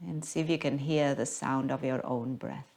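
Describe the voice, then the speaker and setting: A woman guiding a yoga class as the teacher, speaking in a gentle, unhurried, soothing manner. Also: extremely low pitch, fairly even intonation. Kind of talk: guided yoga class instruction.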